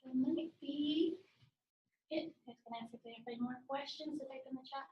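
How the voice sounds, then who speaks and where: A woman's voice: sits high, a little fast, highs cut off. A woman moderating a panel, lightly prompting the speakers with questions. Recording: roomy echo.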